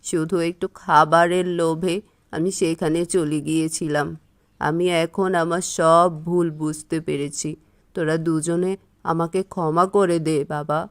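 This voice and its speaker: A woman's voice: sits low, little pitch movement, a little slow. A woman voicing a plaintive, remorseful elderly mother-in-law in a cartoon moral story.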